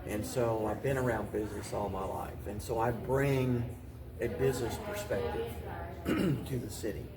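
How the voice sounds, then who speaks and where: Halting American man, crowd murmur behind. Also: on the low side. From Paducah, Kentucky, United States. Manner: halting and deliberate, often restating phrases. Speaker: mayor and interview guest. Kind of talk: unscripted interview answers.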